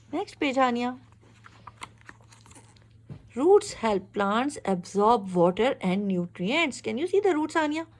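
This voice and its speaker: A woman reads a children's picture book aloud in an expressive, instructive way, pausing to ask questions. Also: a little high. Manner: expressive, instructive, exclaims and checks understanding. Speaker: reader teaching a young child.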